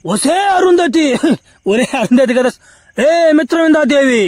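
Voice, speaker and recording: A man's voice: extremely high pitch, lively intonation, a little slow, no echo. A man voicing a gloating, lecherous warlord villain in a comedy cartoon, taunting and crude. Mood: gloating menace. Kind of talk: comic cartoon dubbing.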